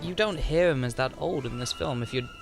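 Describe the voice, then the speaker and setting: Calm man musing on a podcast. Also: mid-range voice, brisk, vocal fry, music in the background. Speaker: podcast co-host. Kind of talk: casual chat about films.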